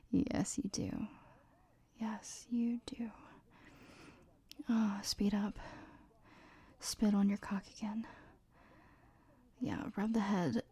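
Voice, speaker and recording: A young adult woman whispering a slow, teasing, commanding audio roleplay close to the mic, with soft laughs between lines. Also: mid-range voice, steady tone, slightly rough. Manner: mostly whispered.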